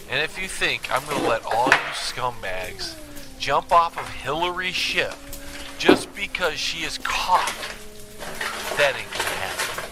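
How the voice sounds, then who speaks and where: A male talk radio host with a fairly high, very animated voice. He delivers sarcastic, combative rants with heavy emphasis, on a noisy recording.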